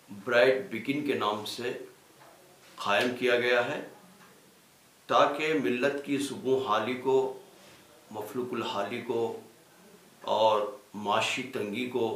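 A man makes a formal appeal, speaking deliberately phrase by phrase. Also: mid-range voice, a bit bright. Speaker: representative of a religious organisation. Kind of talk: formal spoken announcement and appeal. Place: small indoor studio with green screen. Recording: echoey room.

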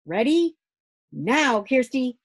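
A woman's voice: mid-range voice, very animated, darkish tone, husky, pitched above the performer's own voice, exaggerated voice. A woman doing a hearty seafaring dad. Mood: commanding. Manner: brisk nautical orders. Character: hearty middle-aged seafaring father in command.

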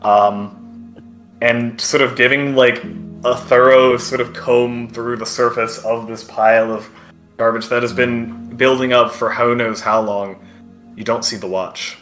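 Guy running a tabletop game, bright voice, improvised narration, hesitant and casual. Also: mid-range voice, fairly even intonation, a little slow, faint music behind. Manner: hesitant, searching descriptions in casual wording. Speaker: game master.

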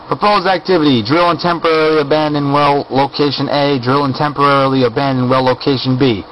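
A man's voice: medium pitch, steady tone, quick pace, a bit bright, slight background noise, low-quality recording. A man giving casual off-camera commentary while filming documents on his desk, often checking in with "all right?". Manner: conversational, keeps asking "all right?". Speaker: video blogger.

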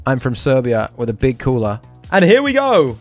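A man's voice: mid-range voice, huge pitch swings, normal speed, faint music behind. A man hosting a poker stream, talking through each hand aloud and repeating short catchphrases. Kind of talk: live poker stream commentary.

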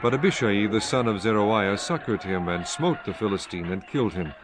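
Low-voiced man narrating scripture solemnly. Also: lively intonation, background noise. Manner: measured, formal.

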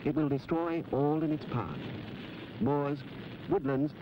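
A man reading scripted public information narration in an instructive, measured manner on an old film soundtrack. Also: fairly high pitch, fairly even intonation, lo-fi sound, background noise. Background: music.

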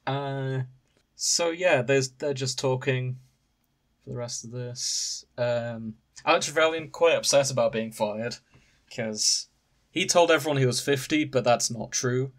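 Man, mid-pitched voice, casual podcast chat, amused and wry, recounting. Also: unhurried. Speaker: podcast host.

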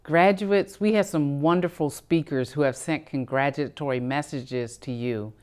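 A middle-aged woman delivers a formal commencement address from a podium. Her voice sits low and her delivery stays measured and prepared. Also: darkish tone. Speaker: college president giving commencement address. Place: studio in front of a backdrop.

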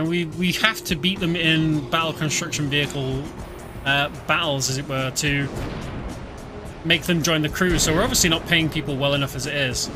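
A British man giving casual, chatty commentary while playing a game, explaining as he goes with many fillers. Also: fairly high pitch. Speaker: game streamer. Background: game music.

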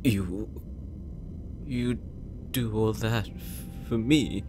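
A man playing a gentle, unsure, tipsy older brother with a hesitant delivery in an audio roleplay. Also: lowish voice, drawn-out words, soft background music. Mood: hesitant, confused.

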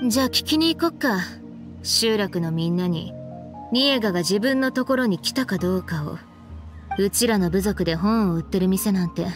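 A woman playing a cool, laid-back, sharp-witted young woman with a casual, blunt manner in an anime game. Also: medium pitch, natural voice. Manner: casual, blunt, unhurried, pointed questioning. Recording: background music under the voice.

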